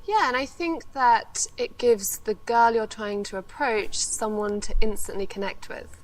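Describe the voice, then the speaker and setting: A female co-presenter chimes in on a game's dating advice segment, upbeat and agreeable. Her voice sits fairly high. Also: expressive, measured pace, rough recording.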